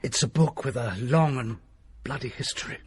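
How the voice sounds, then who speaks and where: An actor playing a secretive country doctor who tells a grim tale in a grave, hushed manner. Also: male voice, mid-range voice, normal speed, slight background noise, lightly acted. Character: desperate, secretive middle-aged male country doctor. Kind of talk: period radio drama acting.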